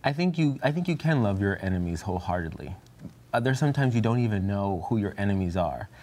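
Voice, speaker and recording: A man's voice: on the low side, expressive, fast talker, darkish tone. A man speaking reflectively and earnestly as a panelist in a Bible study discussion.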